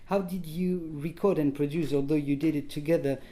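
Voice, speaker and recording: Mellow man interviewing. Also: fairly high pitch, steady tone, fairly quick. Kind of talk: music interview. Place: apartment.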